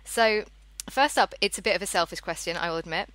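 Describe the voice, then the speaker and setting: A woman's voice: mid-range voice, a little fast, fairly bright tone. A woman hosting a podcast, speaking in a casual, conversational interviewing style.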